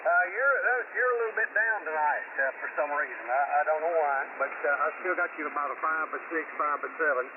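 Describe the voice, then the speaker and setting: A man checking in as a ham radio operator, giving signal reports in a casual, somewhat halting way over the air. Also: a little high, distant mic, phone-quality sound. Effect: heard over amateur radio. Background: loud static and hum.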